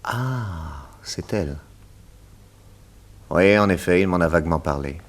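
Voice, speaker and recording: A shrewd gentleman detective in a radio mystery play. His voice sits fairly low and swings widely in pitch. He is courteous and confident, setting out each point with deliberate flourish. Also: lively intonation. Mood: probing. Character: shrewd, theatrical male detective, confident and probing.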